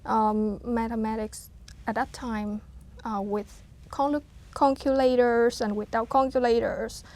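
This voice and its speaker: A woman in her thirties from Vietnam, speaking English as an as an interview guest, hesitant with frequent fillers and soft laughs. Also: a little high, fairly even intonation, a little slow. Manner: hesitant, many fillers and restarts, laughs softly. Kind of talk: casual interview talk.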